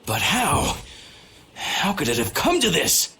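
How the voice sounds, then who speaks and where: A man voicing a pompous, excitable knight officer in a video game, theatrical and formal, with some noise behind him. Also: fairly high pitch, slow pace, exaggerated voice. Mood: shocked, distraught.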